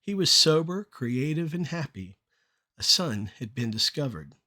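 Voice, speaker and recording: A middle-aged man performing rhymed spoken-word poetry in a measured, deliberate delivery with short phrases. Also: fairly high pitch, sing-song, sharp tone.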